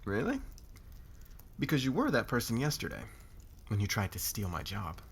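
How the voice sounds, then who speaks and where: A man playing a demanding, calculating corporate boss in a scripted ASMR roleplay, with a sardonic, deliberate, commanding manner. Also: lowish voice, huge pitch swings, creaky, husky, full character voice. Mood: smug, accusing.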